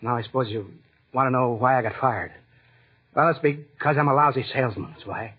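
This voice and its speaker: A man's voice: medium pitch, fairly quick, breathy edge, close to an everyday voice. An American actor playing an earnest, proud but downhearted young husband and stepfather in a 1940s radio drama. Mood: wounded, defensive. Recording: muffled, narrow-band 1940s radio recording.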